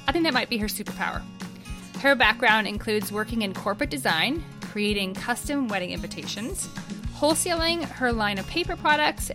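Female podcast host, mid-pitched, enthusiastic intro read, with a music bed. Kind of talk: scripted podcast intro and interview questions.

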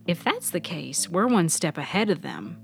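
A woman voicing an excitable young female intern in audio fiction, words gushing out with eagerness. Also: fairly low pitch, moderate pace, creaky, soft background music. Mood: hushed excitement. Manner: unconscious, gushing stream of words. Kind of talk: audio fiction character reading.

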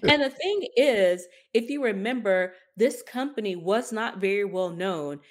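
A woman's voice: mid-range voice, animated, unhurried. A woman co-hosting a podcast, talking through the news in a casual, conversational way and thinking aloud. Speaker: podcast co-host.

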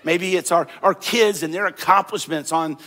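Male pastor, fairly high voice, conversational sermon delivery in a church hall with long reverb. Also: moderate pace. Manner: conversational preaching with repeated phrases, growing animated.

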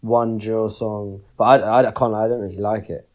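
Fast-talking young guy. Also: fairly low pitch, level tone, darkish tone. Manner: quick, agreeable, slangy. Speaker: podcast co-host. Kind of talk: casual chat about music.

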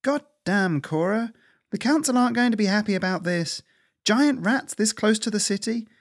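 A man's voice: sits high, animated, moderate pace, pitched above the performer's own voice. A man voicing a snappy, exasperated older female squirrel in a narrated adventure story.

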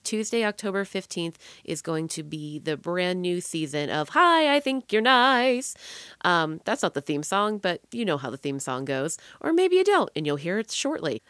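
A bubbly woman introduces her podcast in a chatty, playful style. Her mid-pitched voice is a little hoarse and prone to cracking, and she exaggerates words for fun. The sound is dry, with little room in it. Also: no echo. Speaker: podcast host.

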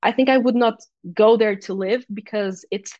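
A woman's voice: a little high, moderate pace, darkish tone, no echo, muffled recording. A young woman from Portugal with a Portuguese accent, a guest athlete chatting casually, with many restarts and fillers. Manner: talkative, many restarts and fillers, laughs easily. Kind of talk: casual interview chat.

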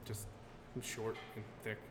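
A man in his thirties, a guest artist, talking loosely about his work and sports, heavy on filler words and quick laughs. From Connecticut. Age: 30s. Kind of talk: casual podcast chat. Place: brewery taproom. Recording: roomy live venue with loud background noise.

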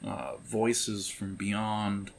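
A man improvising narration as game master of a tabletop horror game, halting as he searches for words. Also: lowish voice, level tone, gravelly, slight background noise.

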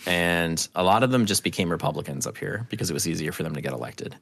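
Low-voiced man chatting, dry close sound. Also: expressive, fast, vocal fry, fairly bright tone, slightly rough, no room sound. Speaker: podcast guest, audio drama creator. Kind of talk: casual conversational storytelling.

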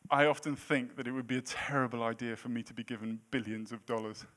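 A man's voice: medium pitch, little pitch movement, fast, a bit bright. A man in his late thirties preaching a sermon in a church, with a self-deprecating, jokey manner between points. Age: 30s. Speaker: pastor.

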